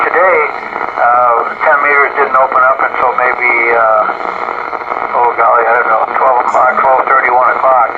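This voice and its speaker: Over a dull, noisy two-way radio comes an older man with a middling pitch. He is an amateur operator chatting casually, rambling along and halting often as he goes. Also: gravelly. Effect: heard over two-way FM radio.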